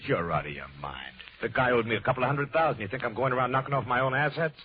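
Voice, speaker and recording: An actor playing a tough, cocky nightclub racketeer with an American accent, curt and defiant, in a mid-century radio crime drama style. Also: male voice, mid-range voice, expressive, fast talker, close to an everyday voice. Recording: muffled, noisy old-time radio recording.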